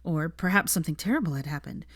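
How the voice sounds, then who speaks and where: A woman's voice: low-pitched, animated, fast talker, no room sound, clean studio sound. A woman narrating fiction from a script as an audiobook reader.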